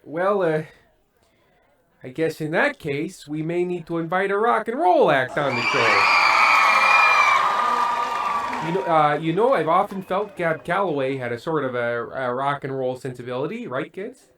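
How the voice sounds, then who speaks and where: A man playing a hesitant, uneasy old-fashioned TV variety host with halting, folksy patter, in the style of an old-time radio play. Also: fairly high pitch, animated, fairly bright tone, some chatter behind. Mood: folksy, awkward showman.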